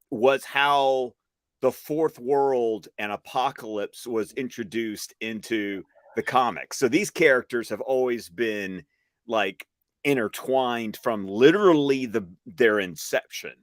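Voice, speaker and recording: A man's voice: mid-range voice, no room sound, clean studio sound. A man co-hosting a casual chat, adding enthusiastic fan trivia about characters and their creators. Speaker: podcast co-host.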